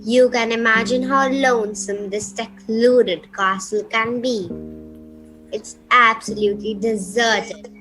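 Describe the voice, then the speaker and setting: A woman acts out a sinister countess for a radio play. Her voice is high and slow, drawn out with grand, commanding airs, and music runs behind it. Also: steady tone, a bit bright, poor audio. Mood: menacing. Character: imperious, sinister countess hostess of a secluded castle. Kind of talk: amateur radio play acting.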